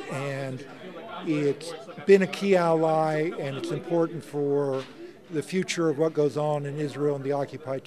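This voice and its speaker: A middle-aged man, a faculty panelist, explaining earnestly in an interview and repeating his points for emphasis. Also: a little high. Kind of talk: interview soundbite. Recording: crowd noise behind. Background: crowd chatter.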